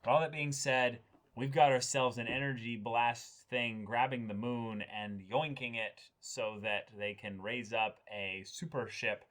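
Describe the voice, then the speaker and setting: A man's voice: mid-range voice, fairly even intonation, measured pace, fairly bright tone. A man talking casually as co-host of a reaction show, with a joking, irreverent manner. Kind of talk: casual chat, anime discussion.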